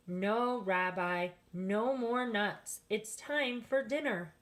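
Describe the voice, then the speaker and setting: A woman as a kindly, slow-talking storybook grandmother. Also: mid-range voice, close to an everyday voice. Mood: gently firm. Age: older. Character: kindly, hospitable old grandmother, chuckling and fussing. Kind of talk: children's storybook read-aloud.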